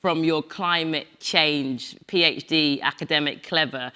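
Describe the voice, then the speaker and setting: A woman's voice: deep voice, unhurried, pushed and bright, smooth. A woman hosting a panel discussion, introducing guests in a chatty way and laughing often. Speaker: panel host. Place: event venue in Glasgow.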